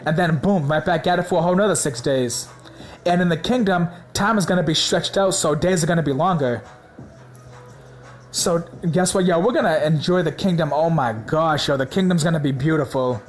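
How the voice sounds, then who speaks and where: A man preaching in a casual, slangy way, hoarse from a sore throat, excited and repeating words for emphasis. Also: fairly high pitch, brisk, soft background music. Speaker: religious video host.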